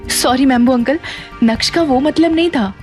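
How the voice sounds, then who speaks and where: A woman playing an anxious, gentle young wife who pleads, in an audio drama. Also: high-pitched, normal speed, faint music behind, lightly acted. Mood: apologetic. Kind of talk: Hindi audio drama acting.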